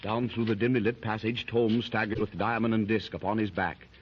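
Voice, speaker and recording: A man's voice: on the low side, level tone, brisk, a little hiss or hum. A man narrating a 1930s radio adventure serial in a dramatic style that rises with the action. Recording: dull lo-fi 1930s radio recording.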